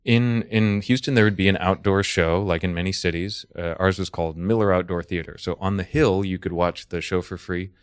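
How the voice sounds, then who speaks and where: A man with an American accent and a very low voice chats as a podcast guest. He explains his ideas thoughtfully and conversationally. The sound is dry and close. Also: fairly even intonation, a little fast, hardly any pauses, smooth, no room sound. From Texas, United States.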